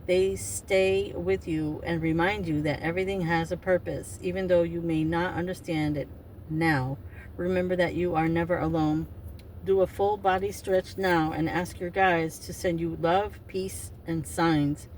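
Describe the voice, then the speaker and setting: Woman, 50s, card reader. Lowish voice, chatty and rambling, a little far from the mic with faint hiss. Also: steady tone, measured pace, darkish tone. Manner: rambling, self-interrupting, chatty. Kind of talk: casual spiritual card reading. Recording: distant mic with faint noise.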